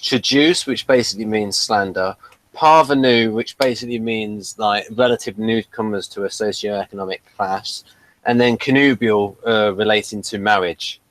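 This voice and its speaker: 건조한 녹음의 설명조 남자 목소리. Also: male voice, lowish voice, normal speed, fairly bright tone, no echo, poor audio. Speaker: TV episode reviewer. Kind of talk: casual unscripted review chat.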